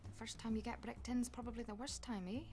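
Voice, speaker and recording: A woman acts out a sarcastic staffer at a school for the occult. Her voice sits on the high side and her delivery is dry, wry and informal. It plays as a video game cutscene over faint music. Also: little pitch movement, quick pace, dark tone, close to an everyday voice. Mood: dry, teasing.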